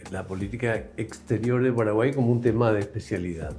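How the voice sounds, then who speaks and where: A man's voice: fairly low pitch, a little fast, a little mellow, soft background music. Hesitant man interviewer. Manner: hesitant, repeats words, many backchannels. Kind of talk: interview questions.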